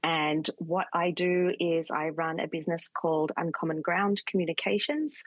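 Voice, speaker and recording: A woman's voice: sits low, no room sound. A woman in her forties from Australia with an Australian accent, talking eagerly and earnestly as an interview guest, with a few fillers. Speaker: guest, communications consultant. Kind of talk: casual interview chat.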